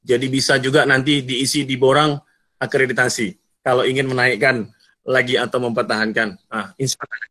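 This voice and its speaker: A middle-aged man from North Sumatra speaks as a guest at an online seminar, talking through a computer mic on a video call. He chats easily and teases the listeners, with a voice of middling pitch for a man. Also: level tone, brisk, pushed and bright. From North Sumatra, Indonesia. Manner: chatty, joking, addresses audience directly.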